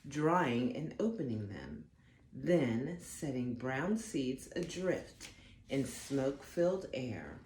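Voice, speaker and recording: Woman, children's storybook read-aloud. Low, mellow voice, expressive delivery, roomy sound. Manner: slow, expressive, deliberate phrasing for young listeners. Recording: roomy echo, faint background noise.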